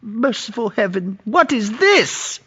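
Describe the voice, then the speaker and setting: Husky, frightened old miser. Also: male voice, sits high, sing-song, slow pace, pushed and bright, full character voice. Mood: horrified, pleading. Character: frightened, pleading old male miser shaken by visions. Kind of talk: audio drama acting of a Victorian tale.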